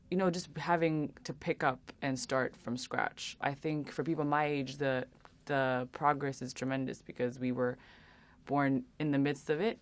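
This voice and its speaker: A young woman answering interview questions earnestly, with many pauses and restarts. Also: extremely low pitch. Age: young adult. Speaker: interview guest.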